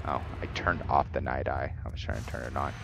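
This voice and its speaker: A man giving casual, halting gameplay commentary with roleplay narration, thinking aloud as he goes. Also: rumbling low, fairly even intonation, gravelly, a bit bright, soft background music. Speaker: gameplay video host. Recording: dull lo-fi mic, noisy background.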